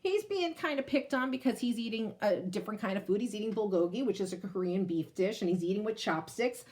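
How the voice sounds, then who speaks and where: A woman's voice: medium pitch, expressive, a little fast, darkish tone. A woman reading a picture book aloud to children, explaining it and addressing young listeners directly as a teacher would. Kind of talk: expressive storybook read-aloud with asides to children.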